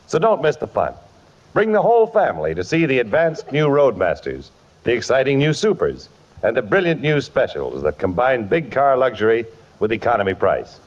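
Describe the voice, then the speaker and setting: Animated male vintage radio announcer. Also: mid-range voice, normal speed. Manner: emphatic salesmanlike delivery. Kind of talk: 1950s radio commercial read. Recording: muffled vintage radio broadcast.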